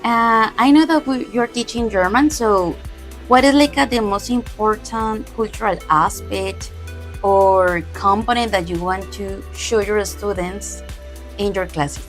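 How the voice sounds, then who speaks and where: A woman's voice: mid-range voice, unhurried, soft background music. A woman, interviewing a teacher with an upbeat manner. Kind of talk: interview in English and German.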